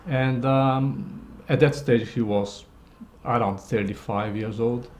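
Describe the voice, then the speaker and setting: A man in his sixties, telling a personal family story with hesitant pauses and fillers. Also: mid-range voice, steady tone, darkish tone. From Brazil. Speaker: interviewee.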